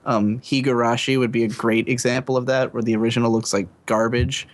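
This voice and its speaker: A man in a casual podcast conversation, weighing his points as he goes. His voice sits at an ordinary middle pitch. He hedges and fills pauses often. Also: level tone. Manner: thoughtful, hedging with fillers. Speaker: podcast co-host.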